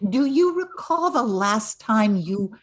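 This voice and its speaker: An older woman playing an earnest, calming investigator in a comic radio play, deliberate and mock-serious. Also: a little high, heavily acted. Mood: calm, official. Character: earnest, calming investigator solving a trivial household case.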